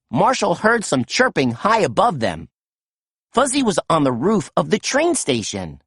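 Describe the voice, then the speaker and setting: A woman narrating a children's story as an animated read-aloud storyteller. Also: fairly low pitch, husky, no echo, studio quality.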